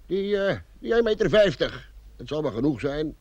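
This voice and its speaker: Naive doubtful digger, pitch all over, dry sound, radio comedy. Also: male voice, very animated, normal speed, a little mellow, dry recording, dull audio. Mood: doubtful, musing. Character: doubting, naive, easily persuaded male pit digger. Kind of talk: comic radio play acting.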